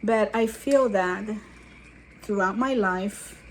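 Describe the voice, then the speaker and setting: Older woman in her seventies, from guatemala, slow unhurried talk, chatty vlog storytelling, faint background ambience. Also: mid-range voice, a little hiss or hum, poor audio. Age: 70s. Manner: chatty, digressive, warm asides to viewers. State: sweaty after a walk. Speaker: YouTube channel host. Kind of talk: casual personal storytelling to camera.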